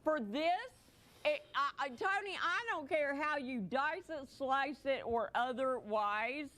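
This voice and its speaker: Woman, home shopping presenter, bright tense voice, higher pitch, playful chatty pitch, replayed broadcast audio. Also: measured pace. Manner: playful, chatty, teasing co-host. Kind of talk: live on-air sales pitch.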